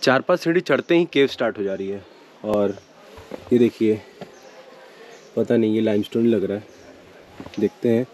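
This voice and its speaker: A man's voice: fairly low pitch, normal speed, long pauses, slight background noise. A man vlogging his trip in a casual, chatty way, mixing in English exclamations as he walks outdoors and into a cave. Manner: chatty, mixes English phrases, excited exclamations. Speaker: travel vlogger. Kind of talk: casual travel vlog narration. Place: outdoors and inside a limestone cave.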